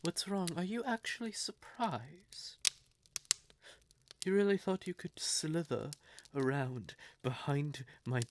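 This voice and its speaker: A man voices a cold, possessive male vampire in a roleplay audio drama. He speaks slowly at a medium pitch, with theatrical, condescending menace toward a lover who betrayed him. Clear background noise sits in the recording. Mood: scornful anger. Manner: theatrical, slow, condescending, deliberate.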